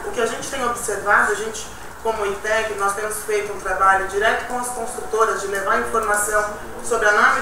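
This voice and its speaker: A woman arguing and explaining at a meeting, tagging her points with "né". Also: on the high side. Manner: explanatory, argumentative, with many "né". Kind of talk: discussion at a meeting. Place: meeting room. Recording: echoey room from far off, noisy.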